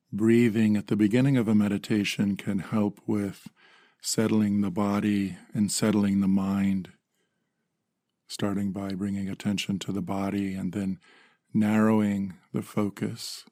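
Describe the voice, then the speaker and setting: Male meditation guide: low, gravelly voice, calm measured phrasing with generous pauses, very dry sound. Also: no room sound.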